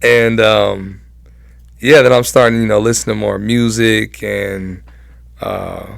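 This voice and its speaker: A man's voice: lowish voice, fairly even intonation, slow pace, creaky, no room sound, clean studio sound. A young American man telling personal stories casually as an interview guest, in informal, slangy speech. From Southern California, United States. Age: young adult.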